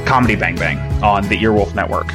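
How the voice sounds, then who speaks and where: A man with a somewhat low voice gives a conversational recommendation. Music runs clearly underneath him. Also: brisk. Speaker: podcast fan giving a recommendation. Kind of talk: casual spoken recommendation.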